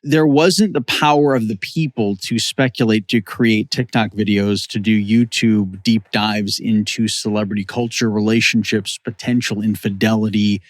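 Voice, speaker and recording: A man talks as a podcast co-host, offering conversational commentary. His pitch is a little low. The recording is dry, with hardly any room sound. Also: fairly even intonation, moderate pace, hardly any pauses, no echo.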